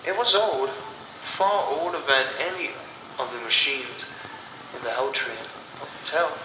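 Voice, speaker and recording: A man tells a horror story with dramatic, deliberate phrasing. His delivery is slow and his pitch sits in the middle range for a man. The recording is very reverberant, with clear noise behind him. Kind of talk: scripted horror story narration. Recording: echoey, long reverb, clear background noise.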